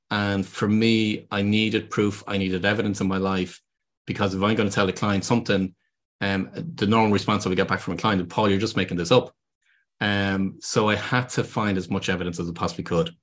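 A man with an Irish accent, a podcast guest and business consultant, explaining earnestly with frequent ums and restarts. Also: fairly low pitch. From Ireland. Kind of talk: podcast interview.

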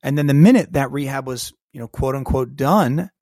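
A man telling a personal story as a podcast guest, lively and acting out the voices of people in it. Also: mid-range voice, normal speed, no echo, clean studio sound. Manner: animated storyteller who acts out quoted dialogue. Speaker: guest, keynote speaker and coach.

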